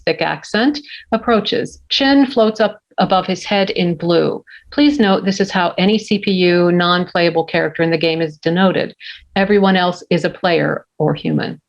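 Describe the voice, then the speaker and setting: A woman's voice: medium pitch, moderate pace. A woman reading scene directions in scripted narration at a table read. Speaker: stage direction reader. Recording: slightly dull lo-fi audio.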